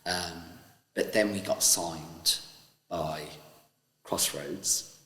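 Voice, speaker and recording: A man, a former pantomime cast member, thinking back in a measured, hesitant way with many pauses. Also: low voice, steady tone, pushed and bright. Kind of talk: reflective interview. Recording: echoey room with long reverb.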